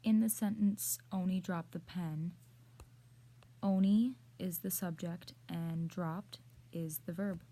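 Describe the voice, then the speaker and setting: A woman presenting a scripted lesson in a measured, explanatory way, speaking directly to the viewer. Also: on the low side, steady tone, mellow. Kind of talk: scripted instructional narration.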